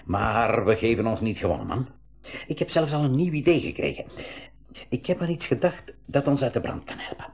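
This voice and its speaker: An actor playing a practical, encouraging professor uncle in a 1980s school radio play, explaining emphatically with a Belgian Dutch accent. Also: male voice, mid-range voice, a little fast, vocal fry, breathy edge. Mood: rallying. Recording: muffled 1980s radio recording.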